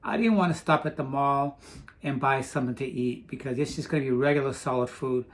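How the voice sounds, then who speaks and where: A man's voice: medium pitch, a little fast. A man from Portugal chatting casually in a vlog, rambling with frequent restarts. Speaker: vlogger. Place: home in Florida.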